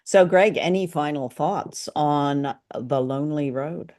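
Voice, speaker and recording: A woman's voice: deep voice, slow pace, darkish tone. A woman hosts a casual review show about old radio drama. She talks conversationally with her co-host. Her manner is playful and wry. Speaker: podcast host.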